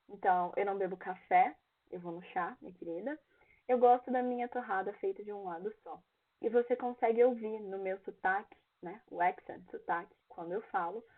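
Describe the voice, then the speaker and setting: Woman, young adult, Brazilian Portuguese accent, exam review teacher, mid pitch, tinny phone-like audio. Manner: reads English lyrics aloud, then translates and explains each line. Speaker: English teacher.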